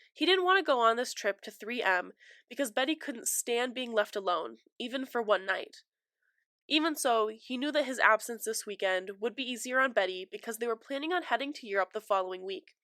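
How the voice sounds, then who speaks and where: A woman's voice: fairly high pitch, a bit bright, dry recording. A young adult woman hosting a true crime podcast, stuffy from a cold, chatty and apologetic with many fillers, on a close mic. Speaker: podcast host.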